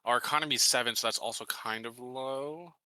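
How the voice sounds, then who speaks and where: A man playing a tabletop game, quickly reading out and weighing game stats in casual chat. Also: medium pitch, pushed and bright, no echo. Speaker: player.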